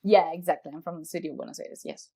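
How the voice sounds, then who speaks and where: A woman's voice: low-pitched, lively intonation, fast, gravelly, mellow. A young woman from Argentina with an Argentine accent, speaking English as a guest on a podcast, laughing often and restarting her sentences. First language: Spanish. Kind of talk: casual podcast interview.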